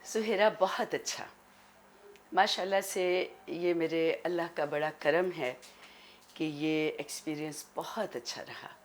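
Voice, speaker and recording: A Pakistani woman with a low voice speaks as an interview guest. She is measured and gracious, taking her time over each phrase. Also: a little hiss or hum. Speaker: guest, actress and voice-over artist. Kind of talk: talk show interview.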